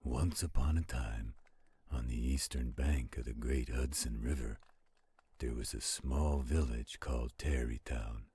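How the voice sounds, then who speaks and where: A man playing a gentle, protective werewolf in a roleplay audio, telling bedtime stories in a slow, intimate, caring way. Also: heavily acted. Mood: soothing, storytelling. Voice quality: very deep, husky, gravelly growl. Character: gentle protective male werewolf, soothing storyteller to his partner.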